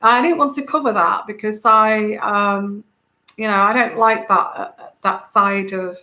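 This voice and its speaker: A woman with a soft, soothing voice, hosting a casual tarot livestream, rambling with many fillers and greeting viewers by name. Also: medium pitch, steady tone, unhurried, reverberant. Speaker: tarot reader and channel host.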